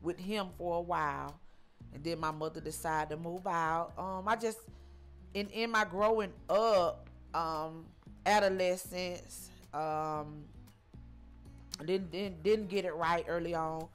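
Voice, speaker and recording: A woman in her forties tells her life story in an interview, her voice sitting on the lower side. She stops and starts, circles back and repeats herself, filling gaps with ums. Faint music plays behind her. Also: fairly even intonation, slow. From Georgia, US. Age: 40s. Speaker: interview guest.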